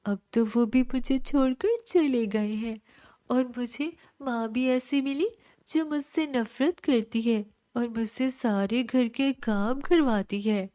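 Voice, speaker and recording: A gentle, lonely schoolgirl from a cartoon fairy tale, acted by a woman. Her voice is high and plaintive, with a pleading edge. She speaks slowly, in a dry recording. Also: dry recording. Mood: sad, plaintive.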